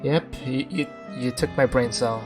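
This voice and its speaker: A woman's voice: very deep voice, unhurried, loud music behind the voice. A woman streamer giving casual commentary while playing a game, hesitant and rambling in the way she talks.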